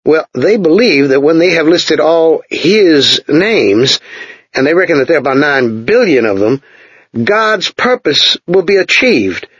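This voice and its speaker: A man putting on a jittery, high-strung technician whose voice climbs well above the teller's own, pressing every point with worry. The sound is dull and lo-fi, dry with no room, and a little husky. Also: expressive, measured pace, fairly bright tone, no room sound, exaggerated voice. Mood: urgent. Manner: anxious, pressing, tense. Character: nervous, urgent male American technician.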